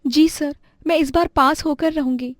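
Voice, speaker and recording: A woman's voice: sits high, fairly even intonation, moderate pace, no room sound, clean studio sound, natural voice. A woman voicing a poor determined girl. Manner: earnest and pleading.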